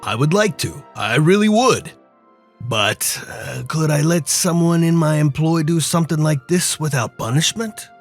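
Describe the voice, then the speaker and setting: A man playing a measured, dryly formal and menacing crime boss in an old-time detective radio drama. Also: fairly high pitch, lively intonation, faint music behind. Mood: calculating.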